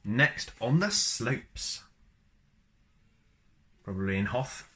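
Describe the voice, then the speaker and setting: A man's voice: medium pitch, animated, extremely slow, pushed and bright. A man reading a book aloud as a storyteller, chatty and often slipping into casual asides. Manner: chatty, wanders into personal asides.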